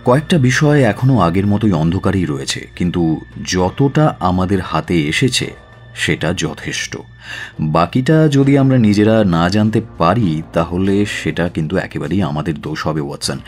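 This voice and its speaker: Calm master detective, deep voice, measured delivery, commanding, radio play, background music. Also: male voice. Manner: measured, deliberate, with drawn-out hesitations. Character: shrewd, composed male detective, calm and commanding. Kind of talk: radio drama acting.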